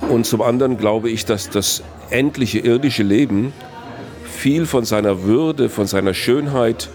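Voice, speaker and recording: A man, a philosophy professor answering interview questions in a measured, reflective way. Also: mid-range voice, a little mellow. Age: 60s. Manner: measured, reflective, builds long reasoned sentences.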